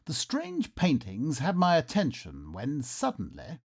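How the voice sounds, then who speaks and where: Man playing a melodramatic, animated Victorian nobleman. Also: a little high, measured pace, creaky, heavily acted. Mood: anxious, dramatic. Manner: theatrical, pompous, well-mannered. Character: lost Victorian nobleman, melodramatic, polite and excitable. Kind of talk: melodramatic first-person radio theatre narration and acting. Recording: noisy ambience behind the voice.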